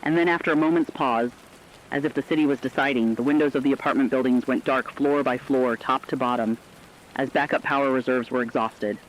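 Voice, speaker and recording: Man acting as solemn catastrophe commentator, mid-pitched, deliberate literary phrasing, scripted radio drama, muffled lo-fi audio. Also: natural voice. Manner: deliberate, literary, emphatic through repetition. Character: solemn male commentator recounting a global catastrophe. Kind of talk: scripted radio disaster narration.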